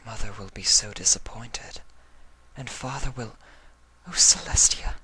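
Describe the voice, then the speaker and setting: A woman's voice: extremely low pitch, measured pace, bright, forceful tone, breathy edge, in a whisper, pitched above the performer's own voice, exaggerated voice, low-quality recording. A narrator voicing a refined, anxious young female pony with formal, proper diction in a fan fiction drama. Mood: anxious, upset.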